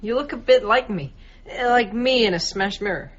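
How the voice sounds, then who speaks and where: A woman's voice: on the high side, normal speed, close to an everyday voice. A woman playing an earnest, anxious young boy in a radio drama. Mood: wondering. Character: earnest, anxious young small-town boy, loyal and questioning. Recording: muffled, noisy radio drama recording.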